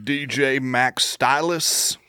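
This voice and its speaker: An actor playing a brusque, formal messenger for a vampire prince in an audio drama. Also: male voice, mid-range voice, steady tone, slow pace, pushed and bright, clear, resonant tone, no echo, clean studio sound. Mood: curt, insistent. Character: curt, no-nonsense male messenger of a vampire prince.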